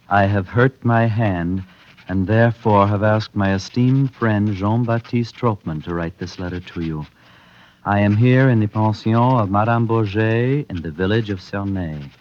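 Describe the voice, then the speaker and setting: A male actor playing a devoted husband reading out a persuasive letter to his wife, measured, earnest and formal, in an old-time radio drama. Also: on the low side, lightly acted. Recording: muffled old radio recording.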